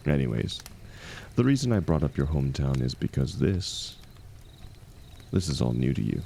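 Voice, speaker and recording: Man acting a very deep, gravelly veteran mercenary. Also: very animated, slight background noise, exaggerated voice. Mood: wry, reassuring. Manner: gentle, teasing, unhurried, colloquial. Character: seasoned ex-mercenary warrior man, gruff, kindly, teasing companion. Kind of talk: intimate fantasy roleplay audio drama.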